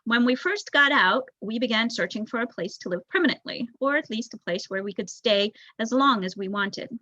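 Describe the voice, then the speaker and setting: A young woman voicing a thoughtful, bookish male rat elder telling a storybook history in a measured, reflective way. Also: a little high, pushed and bright, dry recording. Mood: reflective, earnest. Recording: dull lo-fi recording.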